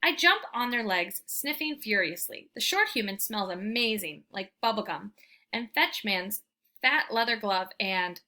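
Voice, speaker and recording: A woman reading a children's book aloud as a storyteller, with expressive, emphatic delivery. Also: medium pitch, measured pace. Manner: animated, emphatic, theatrical delivery.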